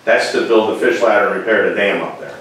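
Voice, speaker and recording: A man's voice: lowish voice, quick pace, a bit bright, slight background noise. A man seated at a meeting table, talking bluntly and at length about town business, recorded by a far camera. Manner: blunt, opinionated, talks in runs. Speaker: first selectman. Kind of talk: meeting discussion. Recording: very echoey room, from far off.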